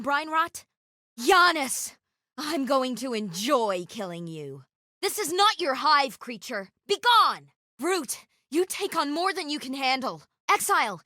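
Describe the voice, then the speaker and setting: An actress playing a stern, vengeful interrogator in a video game, clipped, commanding and threatening. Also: female voice, high-pitched, sharp tone, no echo, studio quality, exaggerated voice. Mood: alert, defiant. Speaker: game character voice.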